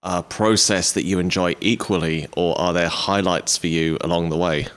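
Very deep, clear male interviewer. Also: steady tone, moderate pace, fairly bright tone. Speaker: podcast co-host, interviewer. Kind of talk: casual interview.

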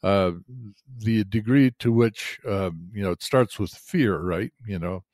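An older man explaining ideas in a podcast chat, hesitant with many filler pauses. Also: on the low side, a little mellow, no room sound. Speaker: podcast co-host. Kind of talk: explanatory conversation.

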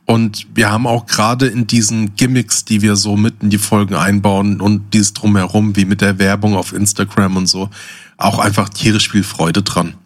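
A man's voice: lowish voice, level tone, normal speed. A middle-aged man chatting casually as co-host of a podcast.

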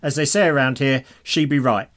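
A man's voice: medium pitch, steady tone, fairly quick, fairly bright tone. A man co-hosting a podcast, chatting casually and reading out listener emails. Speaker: podcast co-host.

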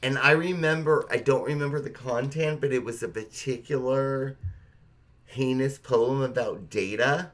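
A man's voice: medium pitch, a little slow, fairly bright tone. Emphatic man in casual conversation. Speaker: podcast co-host. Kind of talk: casual chat.

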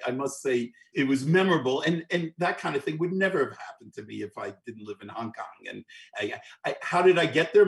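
Animated middle-aged man telling stories. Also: fairly high pitch. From New York. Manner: animated anecdotes with many restarts and repeated words. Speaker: guest, orchestral clarinetist. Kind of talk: storytelling interview.

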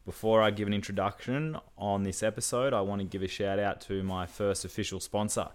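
A man hosting a podcast, talking in a relaxed, rambling way with fillers. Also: on the low side, brisk. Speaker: podcast host.

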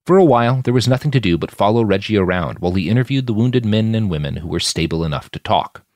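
Low-voiced, expressive male audiobook narrator. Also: fast talker, dry recording, studio quality. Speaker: author narrator.

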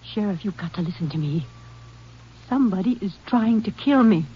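An actress playing a frightened, high-strung young ranch wife in an old radio drama, pleading to be believed. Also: female voice, lively intonation, normal speed, a little hiss or hum, lightly acted. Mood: distraught. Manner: pleading, overwrought. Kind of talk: 1940s-50s radio drama acting. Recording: dull vintage radio recording.

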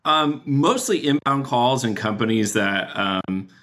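A man's voice: mid-range voice, expressive, unhurried, a bit bright. A man speaking as an executive guest on a podcast, explaining things in a conversational way.

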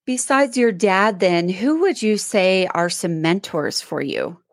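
A woman hosts an interview podcast, asking her questions in a measured, conversational way with the odd restart. Her voice sits at an ordinary middle pitch. The recording is dry and close. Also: animated, no room sound. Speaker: podcast host. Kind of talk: interview questions and sponsor read.

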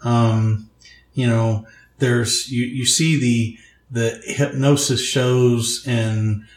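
Northern Louisiana man, joking guest, mid-pitched voice, roomy echo. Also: steady tone, very slow. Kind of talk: casual interview chat.

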